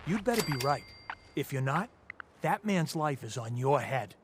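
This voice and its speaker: A man's voice: a little high, very animated, normal speed, background noise. An actor in a video game playing a combative militia man who talks in a blunt, colloquial, challenging way. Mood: suspicious, challenging. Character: defiant, combative male militia fighter, blunt and suspicious.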